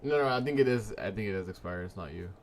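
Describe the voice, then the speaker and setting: A man in his early twenties hosting a live trading stream, chatting casually with viewers in a laid-back, slangy way and letting his sentences trail off quietly. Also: fairly low pitch, lively intonation, fast talker. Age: 20s. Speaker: livestream trading host. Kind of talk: casual live-stream chat reading comments.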